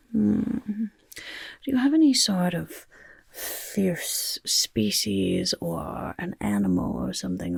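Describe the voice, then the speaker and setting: A woman voicing a chaotic, eager little goblin overlord in a soft-spoken ASMR roleplay, with a creaky, raspy whisper and a fussy, repetitive manner. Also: mid-range voice, dry recording, full character voice. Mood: eager, scheming. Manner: speaks of self in third person, fussy, repeats words.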